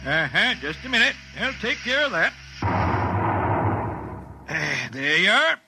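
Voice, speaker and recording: Breezy folksy repairman, high-pitched. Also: very animated, heavy background noise, heavily acted. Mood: breezy, smug. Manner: colloquial, brisk, chirpy. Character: folksy, breezy, self-satisfied small-time repairman. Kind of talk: 1950s radio acting.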